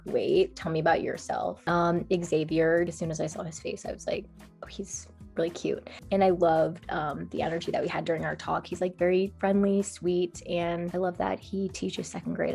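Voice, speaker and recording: A woman's voice: lowish voice, fairly even intonation, fairly quick, creaky, a little mellow. Casual young woman over music. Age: early 20s. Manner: informal, peppered with "like". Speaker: host and contestant of a dating show. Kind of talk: casual chat on a video call. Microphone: video call microphone.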